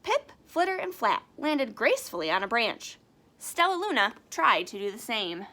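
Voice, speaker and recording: A woman's voice: on the high side, very animated, moderate pace, bright, forceful tone. A woman reading a children's storybook aloud expressively, with casual asides. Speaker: storybook reader.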